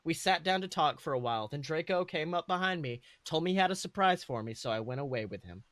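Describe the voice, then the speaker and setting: A man reads a story aloud as a podcast host. His voice is fairly high and very bright, recorded dry and close. The delivery is theatrical and amused, with a mocking edge. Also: animated, fast, no echo.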